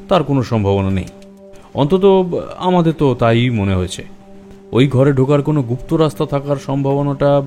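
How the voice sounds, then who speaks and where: A man playing a harried police officer in an audio detective drama, explaining things haltingly with sighs. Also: mid-range voice, mellow, natural voice. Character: harried male police officer reporting a baffling murder case. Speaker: actor in an audio detective story. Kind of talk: Bengali audio drama acting.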